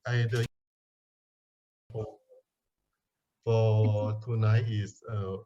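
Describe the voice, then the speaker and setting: A man's voice: on the low side, flat delivery, drawn-out words, warm tone. A man with a Thai accent giving formal guest remarks over a video call, hesitant and often repeating words. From Thailand. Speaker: guest speaker, Buddhist organisation president. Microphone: online video call. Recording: dull, lo-fi video call audio.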